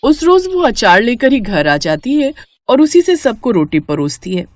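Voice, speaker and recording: A woman's voice: a little high, sing-song, measured pace, dry recording, clean studio sound. A woman reading scripted storybook narration for a cartoon moral tale.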